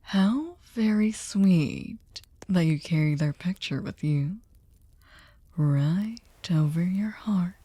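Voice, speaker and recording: A woman's voice: fairly low pitch, takes it slowly, warm tone, no room sound. A woman playing a sadistic, seductive aristocratic vampire in an ASMR audio drama, teasing and mock-polite, often slipping into a whisper. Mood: hushed, teasing.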